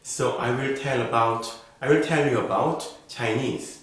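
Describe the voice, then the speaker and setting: Slow, careful man in an echoey room. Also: mid-range voice. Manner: slow, careful, model-answer delivery. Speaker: IELTS speaking teacher. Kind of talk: reading sample exam answers as a lesson.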